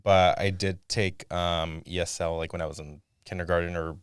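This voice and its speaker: A young man, born in Tijuana and raised in San Diego, a Spanish speaker chatting as a podcast guest and telling animated, laughing anecdotes. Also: sits low, normal speed, smooth, no echo, clean studio sound. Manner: animated storyteller, laughs often, acts out voices in anecdotes. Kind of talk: casual podcast chat.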